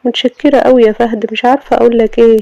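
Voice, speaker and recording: A storyteller voicing a proud, quick-tempered young wife with an Egyptian accent, snapping out indignant retorts. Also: female voice, on the high side, steady tone, creaky, no echo, muffled recording, pitched above the performer's own voice. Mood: pleading. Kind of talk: storybook audio drama.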